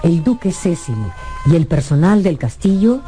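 A woman's voice: fairly low pitch, expressive, normal speed, background noise. A woman narrating a scripted radio drama with a dramatic storytelling delivery.

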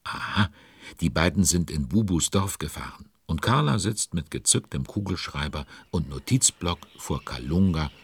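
An actor as the droll narrator of a children's audio drama, musing aloud and commenting conversationally. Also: male voice, sits low, expressive, moderate pace, breathy edge, full character voice. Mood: curious, storytelling. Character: droll storybook narrator who watches and comments along.

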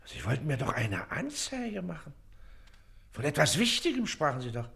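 A self-important Prussian village magistrate for a radio drama. His bright, forceful voice swings widely in pitch as he dismisses and talks down to people with officious impatience. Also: huge pitch swings, normal speed, vocal fry, exaggerated voice. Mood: bored, dismissive. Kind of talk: German radio play acting.

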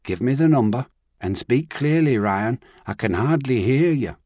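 A man puts on a composed older woman, a grandmother who keeps her voice steady and measured. Her voice sits a little higher than his own, and the sound is close and dry, with no room around it. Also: dry recording, natural voice. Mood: calm, firm. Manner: calm, measured, reassuring.

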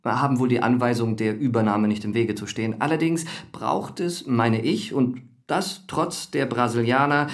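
A man plays a corporate employee who is eager to please. His voice sits at an average pitch. He reports in a businesslike way, as in a radio drama meeting. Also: moderate pace, hardly any pauses, natural voice.

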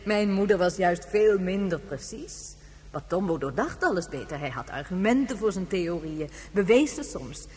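A woman's voice: lowish voice, lively intonation, normal speed, a little mellow, breathy edge. Reverberant, reflective very old woman. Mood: reminiscing. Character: very old woman, 197 years, reflective and gentle. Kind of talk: Dutch science fiction radio drama acting. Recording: hollow long reverb, humming background noise.

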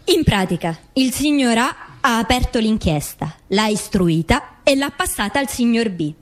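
Actress as a high-voiced, determined grieving mother. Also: lively intonation, normal speed, close to an everyday voice. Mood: indignant. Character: grieving, determined mother seeking truth, accusing the authorities. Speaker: stage actress in a documentary theatre play. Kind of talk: dramatic theatrical recitation.

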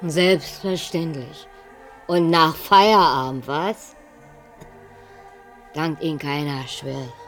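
A woman's voice: deep voice, fairly even intonation, slow, long pauses, soft background music. An actress playing a blunt, world-weary woman colleague in a radio drama, talking in a sceptical, colloquial way. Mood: sardonic, warning. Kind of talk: 1980s radio drama dialogue.

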